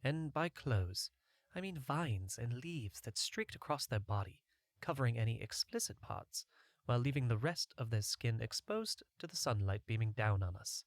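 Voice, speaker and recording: A man's voice: medium pitch, expressive, moderate pace, no echo, studio quality. A man reading a first-person fantasy adventure story as a scripted audiobook narration.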